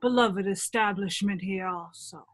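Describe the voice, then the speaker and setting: A woman playing a gruff, crude troll jailer with a folksy, slangy drawl in an irreverent radio play. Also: mid-range voice, measured pace, natural voice. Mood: grumbling, matter-of-fact.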